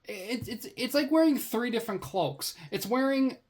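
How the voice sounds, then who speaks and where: A woman's voice: on the high side, normal speed, darkish tone, slight background noise. A woman hosting a casual product review, chatting in a wry, slangy and easily amused way. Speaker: product reviewer, vlog host.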